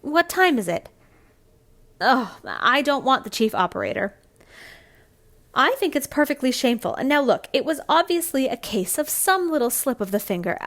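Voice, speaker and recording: A woman's voice: high voice, big pitch swings, normal speed, a bit bright. A woman acting a frantic, demanding caller on the telephone in an old-style radio thriller, stammering and indignant. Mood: exasperated, demanding. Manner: breathless stammering, indignant, exasperated sighs.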